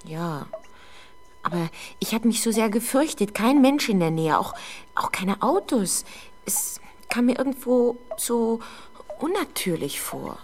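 A young woman playing a frightened, defensive teenage girl under police questioning in a 1970s German radio drama, halting and easily exasperated. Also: fairly high pitch, big pitch swings, heavily acted. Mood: embarrassed, afraid. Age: young adult. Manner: hesitant, halting, exasperated when doubted.

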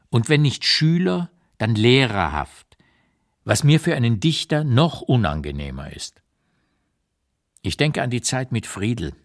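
Blunt old writer, widely swinging intonation. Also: male voice, mid-range voice. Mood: critical, blunt. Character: old émigré writer looking back, blunt, opinionated, reflective. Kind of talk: literary radio play reading, dramatic monologue.

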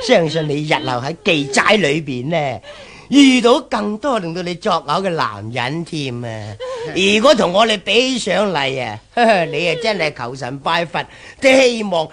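An ugly hunchbacked villain in an old Hong Kong Cantonese radio play. He drawls slowly and leers, his voice lurching up and down between gloating cackles. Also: a little high, sing-song, a bit bright, heavily acted. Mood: gloating, taunting. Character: leering, gloating ugly hunchbacked male kidnapper. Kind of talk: 1970s Cantonese radio drama acting.

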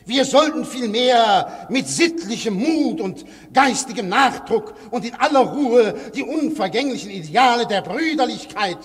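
An actor playing a gentle anarchist poet who stammers, pleads and grows frantic in a radio play. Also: male voice, very high-pitched, expressive, measured pace, few pauses, long reverb tail. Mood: placating. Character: Gregory, soft-spoken anarchist poet, desperate and excitable.

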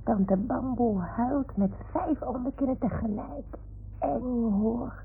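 A woman's voice: a little high, takes it slowly, gravelly, a little hiss or hum. A woman voicing a naive, chatty toy dog in a radio play, with a childlike, questioning manner that seeks approval. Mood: hushed, fearful. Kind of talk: 1960s-70s Dutch radio drama acting. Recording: muffled, phone-like old radio recording.

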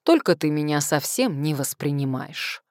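A woman's voice: deep voice, very animated, moderate pace, a little mellow, no room sound, studio quality. A woman voicing a goofy, flirtatious man of thirty, an office techie who jokes and whines in an audiobook. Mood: mock sulky. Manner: joking, mock-offended, whiny.

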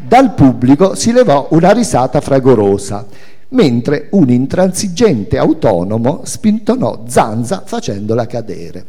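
A man giving an expressive, dramatic literary reading, telling a story as a narrator. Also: mid-range voice, unhurried. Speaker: reader of a memoir. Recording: reverberant hall sound.

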